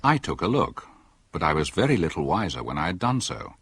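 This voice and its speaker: A man's voice: deep voice, very animated, a little fast, a bit bright, husky, exaggerated voice. A man playing a reflective British country doctor who narrates his own story in a radio drama.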